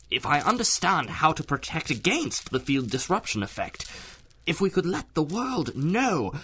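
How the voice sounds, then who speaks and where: An elderly, old-fashioned inventor in a radio drama. He is polite but fretful, with a bright voice that swings widely in pitch. He is hesitant, curious and courteously insistent. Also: slightly rough, exaggerated voice. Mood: earnest, worried. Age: older.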